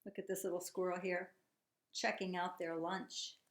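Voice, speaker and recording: A woman's voice: on the low side, level tone, moderate pace. A woman teacher reading a children's storybook aloud, encouraging and putting questions to her young listeners. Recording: echoey room.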